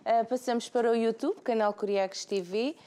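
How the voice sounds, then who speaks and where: A woman co-hosting a talk show, presenting the segments in a brisk, announcer-like way. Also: a little high, steady tone, dark tone, clean tone. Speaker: co-host.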